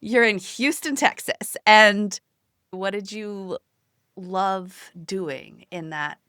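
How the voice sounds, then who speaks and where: A woman's voice: medium pitch, takes it slowly, a bit bright, smooth, no echo, studio quality. A woman hosting a conversational interview podcast with an effusive, encouraging manner.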